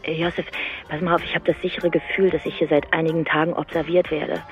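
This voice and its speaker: A woman's voice: sits low, level tone, quick pace, highs cut off, close to an everyday voice. Actress as suspicious woman, insistent, questioning, natural radio drama acting, faint music behind. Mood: worried. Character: alert, suspicious woman demanding answers.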